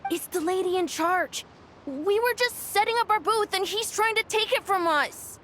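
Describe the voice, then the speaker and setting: A woman's voice: very high voice, normal speed, fairly bright tone, slight background noise. A woman voicing an indignant young child standing up for themselves in a video game. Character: indignant young kid defending a toy booth.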